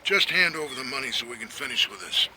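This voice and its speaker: A man's voice: mid-range voice, very animated, quick pace, bright, forceful tone, slight background noise, poor audio. An actor playing a nervous, impatient male official in a radio drama, curt and businesslike. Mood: nervous impatience. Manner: curt, tense. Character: impatient, nervous wealthy official taking a bribe.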